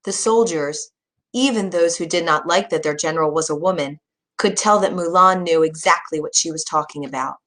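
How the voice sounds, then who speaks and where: A woman's voice: deep voice, moderate pace, a bit bright. Woman narrating a children's story.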